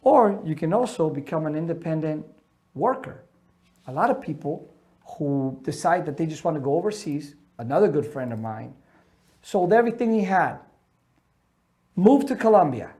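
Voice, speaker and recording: A middle-aged man from Ecuador coaching veterans in a seminar talk, earnest and emphatic, his voice swinging between loud and soft. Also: on the high side. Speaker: military transition coach.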